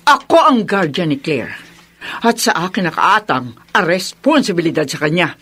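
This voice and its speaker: A haughty stepmother, sharply swinging pitch. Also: sing-song, moderate pace, fairly bright tone, slightly rough, exaggerated voice. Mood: haughty, defensive. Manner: curt, haughty, scolding. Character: harsh, unreasonable stepmother and strict guardian aunt. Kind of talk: Filipino radio drama acting.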